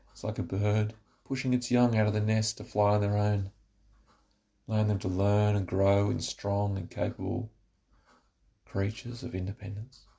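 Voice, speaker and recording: A man narrating a scripted guided relaxation, giving slow, instructive phrases with pauses. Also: fairly low pitch, creaky, dark tone.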